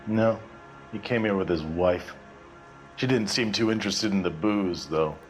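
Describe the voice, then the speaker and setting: A man voicing a deep-voiced, wry bartender. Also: measured pace, soft background music, lightly acted. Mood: guarded. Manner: wry, guarded, laconic. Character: cagey, world-weary male bartender.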